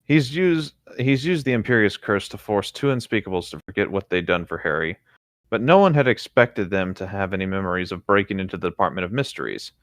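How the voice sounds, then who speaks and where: Man, lowish voice, close dry recording, story narration, read-aloud co-host. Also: lively intonation, a little fast, no room sound. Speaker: co-host reading fan fiction aloud.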